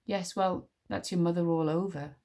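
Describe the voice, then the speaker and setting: A woman's voice: on the low side, fairly even intonation, normal speed, mellow, close to an everyday voice. A woman voicing a boastful, moody thirteen-year-old wizard boy in an audiobook, cocky and grandiose. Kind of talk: audiobook character voice.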